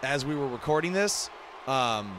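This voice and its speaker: A man's voice: medium pitch, expressive, a little slow. A man giving casual, off-the-cuff commentary over wrestling game cutscenes in a conversational way. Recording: clear background noise from game audio. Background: wrestling game cutscene audio.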